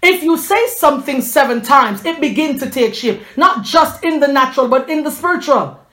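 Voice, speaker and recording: A woman's voice: sits high, expressive, normal speed, fairly bright tone. A woman in her forties giving a fervent, chatty inspirational faith talk to her viewers, with a preachy edge and frequent restarts. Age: 40s.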